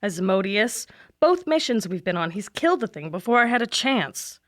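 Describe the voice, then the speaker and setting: A woman's voice: mid-range voice, sing-song, normal speed, close to an everyday voice. A woman playing a proud, exasperated teenage girl monster hunter in an audio drama. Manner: exasperated, sarcastic.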